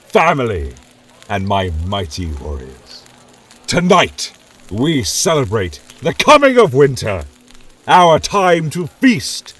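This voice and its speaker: A proud Viking chieftain, as a storyteller puts him on, raising a rousing toast in a lifted, lively voice. His tone stays husky and unhurried, with swooping emphasis. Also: medium pitch, voice pushed up, exaggerated voice.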